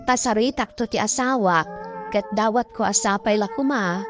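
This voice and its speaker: A woman's voice: medium pitch, normal speed, lightly acted. A woman acting a long-suffering young wife working abroad for her child, in an Ilocano radio drama with an Ilocano accent. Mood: sad, resolute. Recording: radio drama with prominent music underneath.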